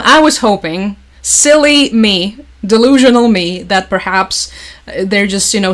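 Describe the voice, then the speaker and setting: A woman's voice: lowish voice, a bit bright. Sarcastic woman. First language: Russian. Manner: sarcastic, wry, quick to laugh. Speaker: livestream host. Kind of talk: casual news commentary to chat.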